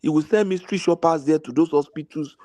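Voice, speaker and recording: A man's voice: on the high side, fast talker, warm tone, no echo. A man in a heated group discussion, explaining a point at length and pushing to finish it.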